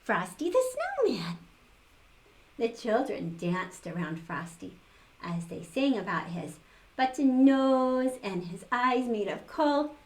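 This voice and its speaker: A woman's voice: on the high side, takes it slowly. An older woman reading a picture book aloud to children, expressively, with little exclaimed asides. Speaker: storytime reader for children.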